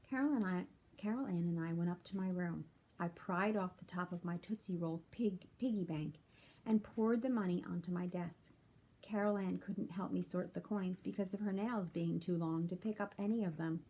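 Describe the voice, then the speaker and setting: A woman's voice: fairly low pitch, normal speed, warm tone, breathy edge, rough recording. A woman reading a children's chapter book aloud like a teacher, with simple explanatory asides for young listeners.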